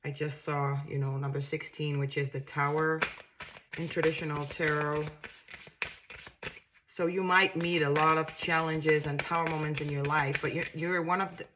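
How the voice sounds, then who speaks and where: A woman's voice: low-pitched, flat delivery, moderate pace, background noise. A woman with a Danish accent, a tarot reader giving a casual reading to camera, musing aloud and often repeating and restarting her words in a reassuring way.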